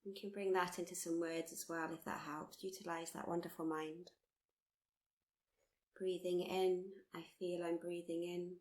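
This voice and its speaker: A woman teaching guided meditation, speaking in a soothing, gently encouraging, unhurried way with repeated phrases. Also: lowish voice, little pitch movement. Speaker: meditation teacher leading a body scan. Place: live workshop.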